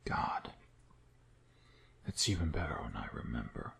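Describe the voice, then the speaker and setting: A man acting a slow, deep-voiced seductive vampire. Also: big pitch swings, vocal fry, fairly bright tone, in a whisper, full character voice. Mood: seductive, hushed. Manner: slow, teasing, murmuring with pet names. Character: suave predatory male vampire, teasing and seductive. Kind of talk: intimate ASMR roleplay.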